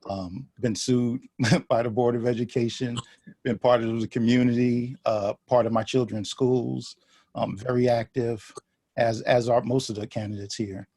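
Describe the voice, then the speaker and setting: A man giving an unprepared closing statement as a school board candidate, halting with many fillers and restarts, on a video call. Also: medium pitch, darkish tone, dry recording.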